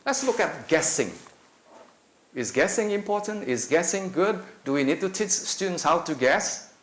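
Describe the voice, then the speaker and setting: A man's voice: medium pitch, a bit bright. Middle-aged Indonesian man lecturing on a handheld mic. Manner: conversational, with many filler pauses. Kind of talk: conference talk. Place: lecture room. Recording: echoey room with faint noise.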